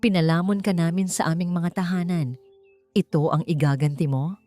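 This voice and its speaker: A woman acts out an angry Filipino villager in an audiobook story. The neighbour sounds indignant and betrayed, accusing with force. Soft music lies behind the voice. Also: lowish voice, fairly even intonation, moderate pace, lightly acted. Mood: outraged.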